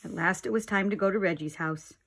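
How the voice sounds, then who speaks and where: A woman narrating a children's storybook like a teacher, with deliberate, expressive phrasing. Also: lowish voice, vocal fry. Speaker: teacher reading aloud to children. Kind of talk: storybook read-aloud narration.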